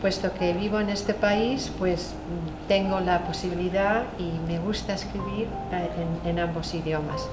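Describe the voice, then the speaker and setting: A woman memoir author whose first language is Spanish, answering panel questions in a measured, reflective way. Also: on the low side, fairly even intonation. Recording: echoey room with music behind.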